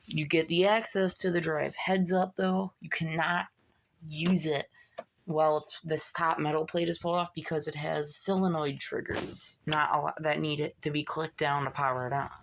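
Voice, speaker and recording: Creaky-voiced hobbyist talking through a repair. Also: medium pitch, flat delivery, moderate pace, fairly bright tone, slight background noise. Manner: informal, muttering asides while working. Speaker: hobbyist repairer showing a fix. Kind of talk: casual hands-on repair narration.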